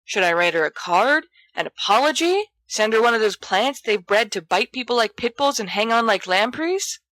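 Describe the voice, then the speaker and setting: A boisterous, teasing schoolboy from a storybook, as a woman narrator puts him on. The voice is bright and punchy, with a blithe, flippant manner. The sound is close and dry. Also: fairly high pitch, lively intonation, moderate pace, no echo, low-quality recording. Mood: eager.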